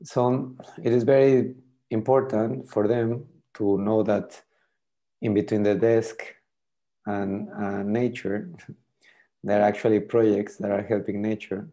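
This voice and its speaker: Middle-aged French-native man on a video call. Lowish, mellow voice, halting delivery with repeated words. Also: steady tone. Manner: hesitant, repeats words while thinking. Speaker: tool demonstrator. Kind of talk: casual video call demo.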